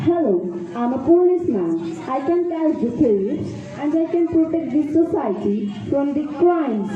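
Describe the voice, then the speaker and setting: High-voiced female puppet-show reciter in echoey room. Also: lively intonation, a little slow, dark tone. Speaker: student performer in a school puppet show. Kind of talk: scripted puppet-show dialogue. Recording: muffled, very echoey, noisy room with chatter.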